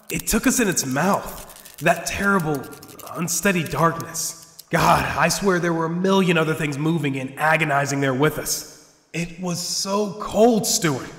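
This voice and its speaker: An actor playing a bleak, sardonic survivor who has given up on living, in a sci-fi audio drama. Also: male voice, fairly high pitch, a bit bright, slight background noise. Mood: horrified. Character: despairing, bitter male survivor resigned to death. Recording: echoey cavernous hall reverb.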